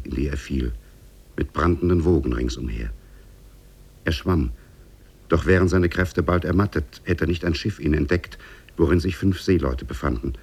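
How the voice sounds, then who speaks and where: A man narrating a tale in a measured, formal manner for a 1950s German radio drama. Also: low voice, a little mellow, slight background noise.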